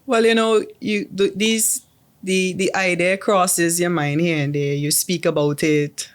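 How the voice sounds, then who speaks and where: A woman's voice: on the low side, steady tone, a little slow. A young adult woman from the Caribbean, chatting as a guest in an interview, often restarting her sentences with um. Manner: chatty, restarts with um and repeated words. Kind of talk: casual interview.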